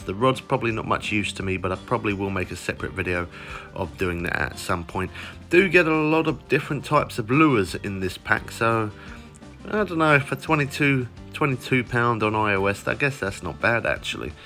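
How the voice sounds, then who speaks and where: A man with a somewhat low, croaky voice talks through a fishing game's new shop items in a loose, rambling way, often stopping to correct himself. Faint music plays under his commentary. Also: fairly bright tone. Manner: chatty, rambling, self-correcting. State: croaky from lack of sleep. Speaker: gaming YouTuber. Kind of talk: casual gameplay walkthrough. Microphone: phone recording mic.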